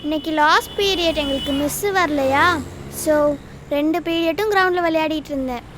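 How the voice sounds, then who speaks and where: A woman voicing a tired, peaceable little schoolgirl in an animated series, with an Indian Tamil accent and a placating manner. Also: high voice, brisk, slight background noise. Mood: weary, placating. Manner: placating, weary. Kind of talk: animated children's series acting.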